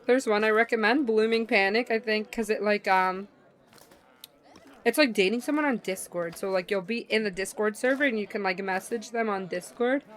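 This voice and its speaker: A young woman streaming a visual novel, chatting casually in an expressive, slangy way. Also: mid-range voice, moderate pace. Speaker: game streamer. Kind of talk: casual chat while reading a visual novel.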